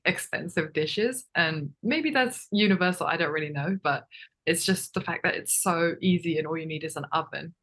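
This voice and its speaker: A woman's voice: low voice, a bit bright. A young woman raised in Japan, a podcast guest chatting casually with lots of fillers and little laughs. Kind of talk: casual interview chat.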